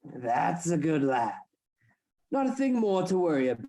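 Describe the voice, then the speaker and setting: A man's voice: a little high, sing-song, normal speed, dark tone. A man acting in a radio play as a domineering, scheming Victorian anatomy assistant, with commanding, formal, theatrical speech. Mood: coaxing.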